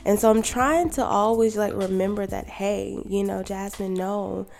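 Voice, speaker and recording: A woman in her thirties, a podcast co-host, talking calmly and reflectively in a quiet, soft voice. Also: mid-range voice, a little slow, gravelly. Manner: calm and gentle. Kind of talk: reflective personal monologue. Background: music.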